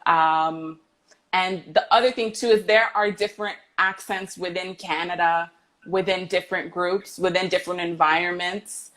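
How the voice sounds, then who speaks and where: A woman's voice: on the low side, moderate pace. A woman English teacher chatting casually as a guest on a video call, laughing easily as she tells stories. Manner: relaxed, laughs while telling stories. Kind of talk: casual online chat.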